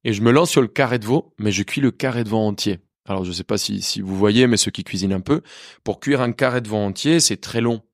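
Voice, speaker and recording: A man in his thirties with a low voice and a faint Colombian Spanish accent, speaking his second language fluently. He tells his story in a lively, candid way, stumbling and restarting often. The sound is close and dry, with no room echo. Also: quick pace. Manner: lively, many restarts and hesitations. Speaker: chef guest.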